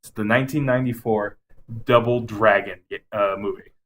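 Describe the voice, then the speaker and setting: A man's voice: lowish voice, steady tone, normal speed, fairly bright tone, a little hiss or hum, rough recording. A man co-hosting a podcast, casually and enthusiastically rambling through his favorite game movies with some swearing. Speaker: podcast co-host.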